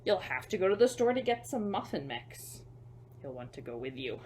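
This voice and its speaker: A woman reading a picture book to children as a storyteller, expressive, with chatty, simple asides to young listeners. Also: fairly low pitch, moderate pace, slight background noise.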